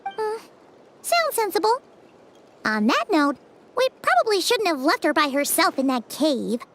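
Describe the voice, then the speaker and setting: Squeaky, very high-pitched little fairy companion. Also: female voice, big pitch swings, measured pace, fairly bright tone, exaggerated voice. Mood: thoughtful. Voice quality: squeaky, childlike. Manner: refers to self in third person, exclamatory. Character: small childlike fairy companion, chirpy, blunt, excitable. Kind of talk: anime-style video game voice acting.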